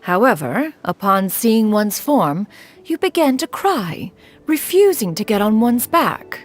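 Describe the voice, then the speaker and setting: A woman voicing a proud, wise crane immortal and elderly mentor in a video game, speaking in formal, archaic, measured phrases. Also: fairly high pitch, big pitch swings. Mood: reminiscing, stately. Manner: formal, archaic, measured speech calling herself "one". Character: proud, wise female crane Adeptus, elderly motherly master. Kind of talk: scripted video game voice acting. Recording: music behind the voice.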